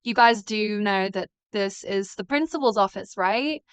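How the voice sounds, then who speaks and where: A taunting, self-satisfied rival schoolgirl, voiced by a woman in a web drama. She drawls sardonically in a smooth, mid-pitched voice, recorded dry and close. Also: measured pace, no echo, natural voice. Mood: smug, taunting. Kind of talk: voice-acted series dialogue.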